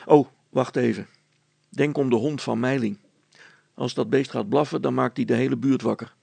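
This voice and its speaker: Man playing a gravelly, practical storybook father. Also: medium pitch, fairly even intonation, normal speed, dark tone, no room sound. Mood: scolding, urgent. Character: practical, gruff middle-aged father and tinkerer.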